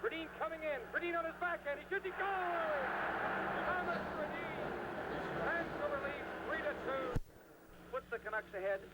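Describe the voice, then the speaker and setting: Male hockey commentator, high-pitched and excitable, live 1970s radio sound with clear background noise. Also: normal speed. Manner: excitable, rising on scoring chances. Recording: noisy vintage 1970s radio broadcast.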